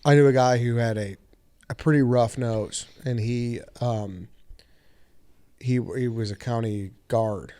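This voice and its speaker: A man at a mid-range pitch, talking loosely on a podcast. He swears freely and keeps the banter easy. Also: steady tone, measured pace, warm tone, no room sound. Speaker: podcast co-host. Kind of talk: casual chat.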